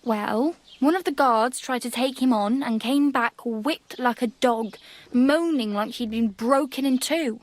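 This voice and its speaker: An actress as a higher-voiced village woman. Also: measured pace, no room sound, natural voice. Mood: frank. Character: frank, teasing young village woman, helpful. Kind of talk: video game character dialogue.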